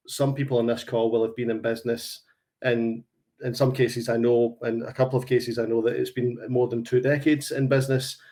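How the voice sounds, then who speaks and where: A man's voice: mid-range voice, a little mellow. Glaswegian man presenting a sales webinar. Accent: Glaswegian Scottish. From Glasgow, Scotland. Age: around 40.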